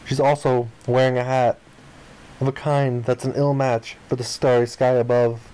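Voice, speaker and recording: A man narrates the text of a visual novel for a playthrough. His voice sits at an average pitch. He reads the story aloud in a dramatic, first-person storytelling style. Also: flat delivery, normal speed, warm tone, clean tone, poor audio. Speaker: let's player reading a visual novel aloud.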